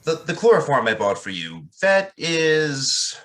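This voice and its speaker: A man's voice: fairly high pitch, very animated, measured pace, fairly bright tone. A man playing a young pastor in a radio drama, earnest and solemn, nervous and hesitant. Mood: nervous, uneasy.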